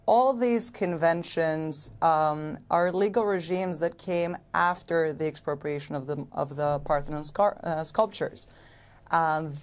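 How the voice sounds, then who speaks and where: A woman who lectures in international law explains legal routes as a TV panel guest. Her voice sits low, and she phrases things carefully and precisely, pausing on ums. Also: darkish tone.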